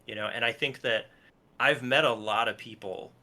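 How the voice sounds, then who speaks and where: A man talking casually as an interview guest, thinking out loud in a halting, rambling way with repeated false starts. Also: mid-range voice. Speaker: guest musician and film and TV composer.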